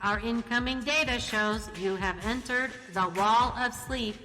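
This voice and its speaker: A woman voicing a polite, formal automated space traffic control announcer in a radio play, delivering measured courtesy warnings. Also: a little high, sharp tone. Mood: polite, impassive. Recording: long hall reverb, faint music.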